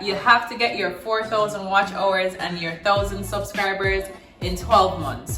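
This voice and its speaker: A woman's voice: mid-range voice, bright, forceful tone. A young woman from Jamaica with a Jamaican accent, chatting casually to camera as a vlogger and repeating words for emphasis. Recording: roomy echo.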